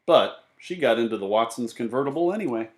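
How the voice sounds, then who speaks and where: A man reading a children's storybook aloud in animated narration, recorded in an echoey room. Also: medium pitch, fast talker. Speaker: book reader.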